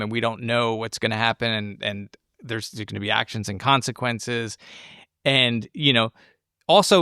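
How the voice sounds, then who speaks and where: Male podcast co-host, middle pitch, dry sound, informal and excitable film chat. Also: smooth, no room sound. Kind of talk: casual film discussion.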